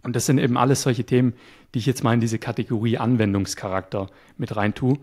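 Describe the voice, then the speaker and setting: A man as an interview guest, calmly explaining his work with a few fillers. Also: medium pitch, fast. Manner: calm, explanatory, some fillers. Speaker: guest, web developer. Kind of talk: casual interview chat.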